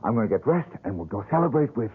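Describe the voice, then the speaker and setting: An actor playing a struggling young painter in a 1970s radio drama, talking eagerly with quick bursts of enthusiasm and a self-mocking streak. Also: male voice, medium pitch, expressive, breathy edge. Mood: cheerful. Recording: narrow lo-fi radio broadcast.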